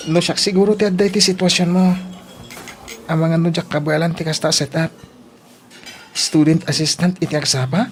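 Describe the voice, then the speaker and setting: A woman plays a young student pal in a radio drama, with a fairly low voice and a Filipino accent. The delivery is teasing and playful yet earnest and devoted, over a faint bed of noise. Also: darkish tone, natural voice. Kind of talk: Ilocano radio drama acting.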